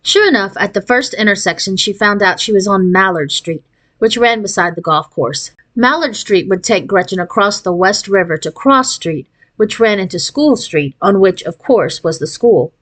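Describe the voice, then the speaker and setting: A woman narrating a children's novel as an expressive read-aloud. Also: medium pitch, moderate pace. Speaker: storybook reader.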